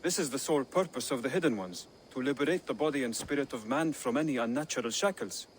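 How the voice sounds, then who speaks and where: A man's voice: medium pitch, lively intonation, fast talker, slight background noise, lightly acted. An actor playing an earnest young assassin in a video game, explaining his creed formally and solemnly. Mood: solemn, fervent. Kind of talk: dramatic video game cutscene acting.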